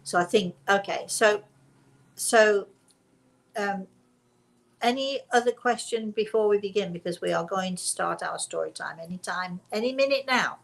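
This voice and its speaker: A woman hosting a casual spiritual talk, speaking deliberately and repeating phrases for emphasis. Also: mid-range voice, vocal fry. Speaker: host and spiritual teacher.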